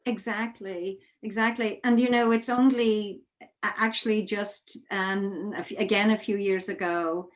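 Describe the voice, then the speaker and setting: A woman's voice: medium pitch, steady tone, unhurried. A woman interviewing in a conversational way, thoughtful and often rephrasing herself as she goes. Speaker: interviewer, theatre artistic director.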